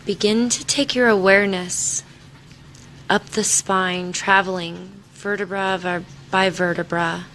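A woman leading a guided meditation in a soothing, unhurried manner, leaving long pauses between short instructions. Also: mid-range voice, fairly even intonation, a bit bright, slight background noise, low-quality recording. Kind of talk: guided meditation, body scan.

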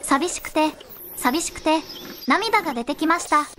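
A young-sounding synthetic woman narrating a fairy tale in measured storybook phrasing. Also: high-pitched, expressive, bright, forceful tone, a little hiss or hum.

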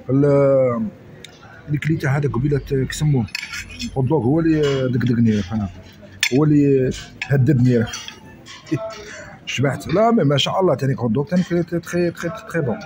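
A man's voice: medium pitch, quick pace, pauses often, background noise. A man with an Oran Algerian Arabic accent chatting casually while eating, filming his meal on a phone at an outdoor restaurant. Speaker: food vlogger. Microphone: phone camera held over the plate.

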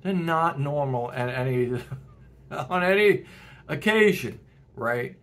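A man's voice: on the high side, lively intonation, slow, fairly bright tone. A middle-aged man talking casually and earnestly to camera at home, with short laughs between points. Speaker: self-help video host. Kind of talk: casual direct-to-camera talk. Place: home room with porch window and plants.

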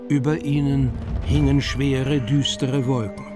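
An actor plays the storyteller of a children's fantasy radio play. He is a man with a mid-pitched voice, reading scripted narration. Music plays clearly behind him. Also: little pitch movement, unhurried, natural voice. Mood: storytelling. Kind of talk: scripted audio drama narration.

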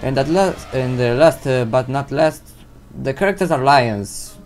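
A man's voice: medium pitch, unhurried, a little mellow, soft background music. Hesitant teenage Romanian boy vlogging. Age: teens. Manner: hesitant, many uh fillers, non-native English phrasing. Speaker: channel host answering viewer questions. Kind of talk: casual Q&A vlog. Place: indoors at home, seated in gaming chair. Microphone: desk microphone.

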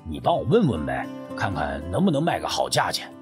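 A man's voice: sits low, wildly swooping pitch, fairly quick, music in the background. A man playing a sly, sheepish rural man in an audiobook, stammering and wheedling as he angles for money. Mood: wheedling, insistent. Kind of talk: acted audiobook dialogue.

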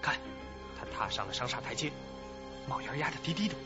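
A man playing an eager, excitable police investigator in a radio drama, reporting in short bursts. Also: mid-range voice, brisk, lo-fi sound, loud music behind the voice, lightly acted. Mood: tense, excited. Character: eager male police investigator scanning surveillance footage.

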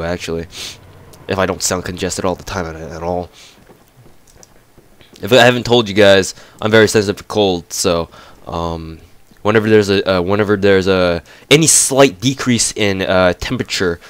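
A man's voice: low-pitched, normal speed, no echo. A young man giving casual, profane gameplay commentary, ranting and mocking while congested from a cold. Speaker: gamer commentator.

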